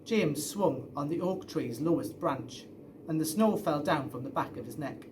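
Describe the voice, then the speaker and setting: A man's voice: on the high side, lively intonation, normal speed, background noise. A man reading a children's story aloud expressively, as a storyteller. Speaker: story reader.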